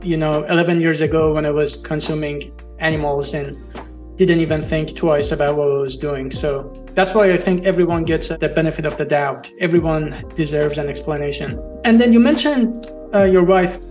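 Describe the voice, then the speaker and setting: High-voiced earnest man, faint music. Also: moderate pace, darkish tone. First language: Farsi. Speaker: host. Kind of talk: interview conversation.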